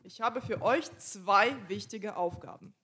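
A woman interpreting a pastor's sermon live in church, quick and casual, with small slips and restarts. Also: mid-range voice, bright, forceful tone.

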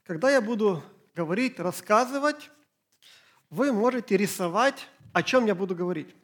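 A middle-aged man, Ukrainian his first language, preaching a church sermon in short phrases with pauses for an interpreter. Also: high voice, animated, fairly bright tone. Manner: slow, halting German, pausing phrase by phrase. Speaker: pastor.

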